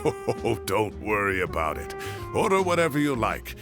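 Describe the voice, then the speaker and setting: Blustery, genial old professor, animated and low. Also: male voice, moderate pace. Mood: genial, jovial. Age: older. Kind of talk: video game voice acting. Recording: game music underneath.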